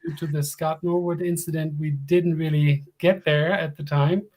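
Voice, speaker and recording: A man's voice: a little high, steady tone, normal speed, a little mellow. A middle-aged man from Germany, a native German speaker, calling in to chat about his favorite team in friendly, careful English. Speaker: caller, fan from abroad. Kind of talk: casual sports chat.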